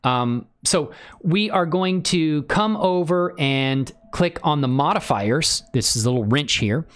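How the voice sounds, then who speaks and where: A man hosting a casual live software tutorial, exclamatory and given to interjections. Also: on the high side, expressive, measured pace, clear, resonant tone. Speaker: livestream tutorial host.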